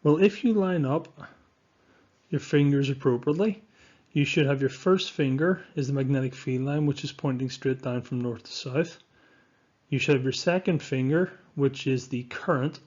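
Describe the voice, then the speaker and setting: A man narrating a lesson as a science teacher, speaking in an instructive, deliberate way with emphatic stresses, on a dull recording. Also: mid-range voice, darkish tone. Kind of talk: scripted lesson narration over slides.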